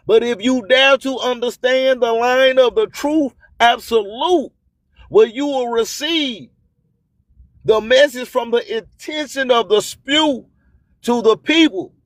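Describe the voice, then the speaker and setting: A man's voice: very high-pitched, slow pace, no echo, low-quality recording. A man hosting an internet radio show, delivering a motivational spoken-word monologue in a preachy manner full of repeated, rhyming catchphrases.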